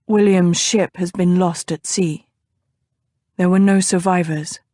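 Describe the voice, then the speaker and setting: A woman reading an audiobook, voicing a grave, gentle grown man in a slow, low, soft delivery. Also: fairly even intonation, no room sound, clean studio sound. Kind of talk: audiobook character voice.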